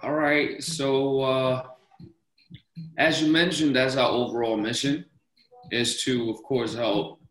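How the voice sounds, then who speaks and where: A man's voice: medium pitch, steady tone, slow, a bit bright, reverberant, rough recording. A young man from Denmark, a podcast guest talking about investing, who rambles and restarts his sentences often. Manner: rambling, many restarts and repeated words. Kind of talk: casual interview talk.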